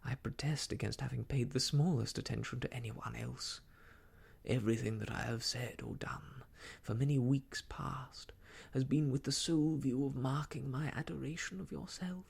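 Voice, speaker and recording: A man voicing an ardent, vain young gentleman in a classic novel audiobook, effusive, protesting and self-important. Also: medium pitch, steady tone, moderate pace, creaky, slightly rough, exaggerated voice. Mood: indignant, ardent.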